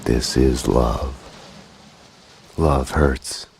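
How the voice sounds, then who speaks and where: A man's voice: extremely low pitch, fairly even intonation, drawn-out words, gravelly, noisy background, full character voice. An actor playing a world-weary, fatalistic male detective who narrates a noir game in a grave, terse, brooding monologue. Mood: somber, tender. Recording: loud music bed behind.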